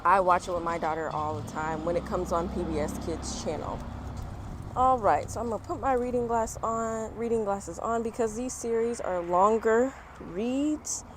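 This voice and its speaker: A woman reading a children's picture book aloud with chatty, encouraging asides to young viewers, recorded outdoors. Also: mid-range voice. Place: outdoors on a fall day. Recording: outdoor background noise, passing vehicles. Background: outdoor ambience, traffic.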